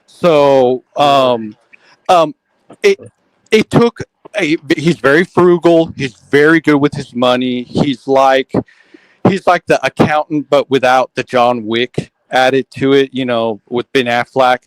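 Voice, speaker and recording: A man hosting a casual online group chat, talking fast and often repeating his opening words. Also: mid-range voice, fairly even intonation, smooth, dry recording, rough recording. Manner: quick, repeats starting words, lots of "um" and "like".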